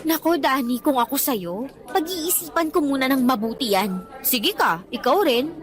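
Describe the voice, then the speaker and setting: A bratty teenage schoolgirl in a radio drama, high and very animated. She is sassy, taunting and defiant, with soft music playing underneath. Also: normal speed. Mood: smug, threatening. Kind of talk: Tagalog radio drama acting. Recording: music bed behind the voice.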